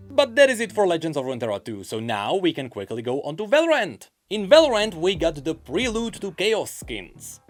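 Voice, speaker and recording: A man hosting a gaming news show, reading scripted narration in an emphatic way with stretched, swooping stresses. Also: a little high. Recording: music playing behind the voice. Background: background music.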